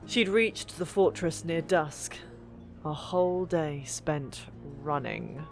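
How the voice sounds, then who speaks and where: A woman narrating a fantasy audiobook in an expressive, deliberate storytelling manner. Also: low voice. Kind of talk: dramatic scripted fiction narration. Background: background music.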